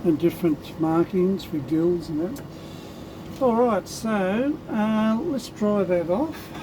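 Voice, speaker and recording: Croaky, slow-talking man. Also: sits high, lively intonation, background noise. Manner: unhurried, thinking aloud while working, with many ums. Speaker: lure painter demonstrating. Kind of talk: casual hands-on tutorial commentary.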